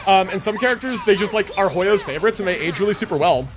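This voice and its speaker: A man livestreaming a video game with casual commentary, thinking out loud in slangy, sweary talk. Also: sits high, expressive, quick pace, crowd noise. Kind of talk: casual gaming stream commentary.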